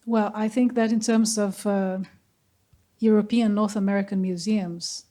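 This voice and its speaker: A woman's voice: medium pitch, steady tone, measured pace, warm tone. A woman from Brazil, a researcher and curator, speaking on a symposium panel in a conversational way. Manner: conversational, with fillers and restarts.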